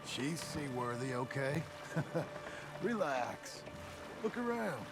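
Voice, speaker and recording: A slow-talking gravelly American cowboy. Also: male voice, mid-range voice, big pitch swings, over music, heavily acted. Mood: easygoing. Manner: plainspoken, halting, earnest. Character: John Marston, gruff earnest frontier outlaw turned rancher. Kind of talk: dramatic video game acting.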